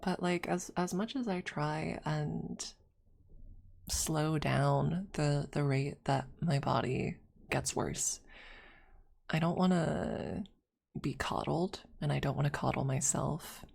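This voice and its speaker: A woman chatting casually on an ASMR livestream, soft-spoken and halting, close to a streaming microphone. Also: deep voice, fairly even intonation, vocal fry, breathy edge.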